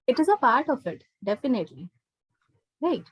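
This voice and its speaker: A woman's voice: fairly high pitch, very animated, normal speed, slightly rough, no echo, muffled recording. A woman with an Indian accent teaching an interactive spoken English lesson online, instructing and often checking understanding with short questions. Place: online live class.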